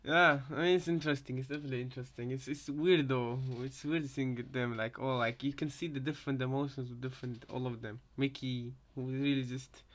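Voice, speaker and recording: A man's voice: mid-range voice, steady tone, brisk, hardly any pauses, fairly bright tone. A man giving casual reaction commentary, hesitant and repeating himself as he thinks aloud. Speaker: reactor, fan theorist.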